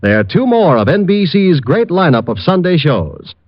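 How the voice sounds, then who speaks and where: A man's voice: a little high, big pitch swings, moderate pace, no echo. A man announcing network programs in a polished, emphatic vintage radio promotional style. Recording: thin, phone-like old radio recording.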